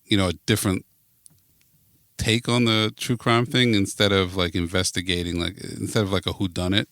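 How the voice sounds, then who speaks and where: A man's voice: low-pitched, little pitch movement, moderate pace, a bit bright, no room sound, studio quality. A man being interviewed as a podcast guest, chatting casually and thinking aloud as he goes. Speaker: podcast guest, network founder.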